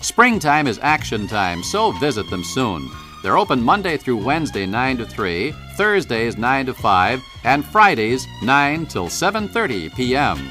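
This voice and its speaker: Male 1970s radio ad announcer, animated melodic read, music bed underneath. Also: medium pitch, measured pace, few pauses. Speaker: commercial announcer. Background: guitar music.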